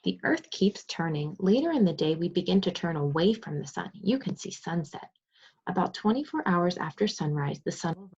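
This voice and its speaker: A woman's voice: lowish voice, expressive, moderate pace, a little mellow, slightly rough. A woman teacher reading aloud and explaining to young children, asking questions and praising pupils as she goes. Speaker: second grade teacher. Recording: dull lo-fi sound.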